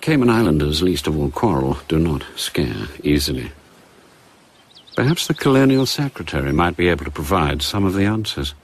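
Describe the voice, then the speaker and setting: An actor giving measured, grave scripted narration for a radio drama in a British accent. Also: male voice, very deep voice, big pitch swings, husky, heavily acted. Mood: measured, pondering. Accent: British English.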